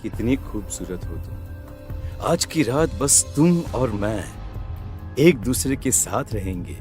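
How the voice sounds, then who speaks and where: A man's voice: on the low side, expressive, slow pace, darkish tone, soft background music. A man playing a possessed village husband in a horror cartoon, coaxing and menacing in a leering way. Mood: leering menace.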